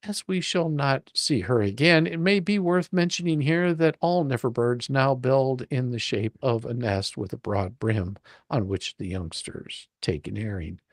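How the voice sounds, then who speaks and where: A man narrating a story as a dramatic reading, with a playful, expressive, theatrical manner. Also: medium pitch, a little slow, no room sound, studio quality. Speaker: reader and host of a live dramatic reading.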